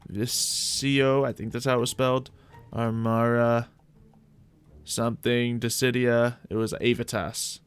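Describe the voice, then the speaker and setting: A man's voice: mid-range voice, flat delivery, unhurried, clear, resonant tone. Man musing through game commentary over music. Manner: mock-archaic, formal fantasy phrasing. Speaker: host of a gaming series. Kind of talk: gaming commentary, thinking aloud in light roleplay.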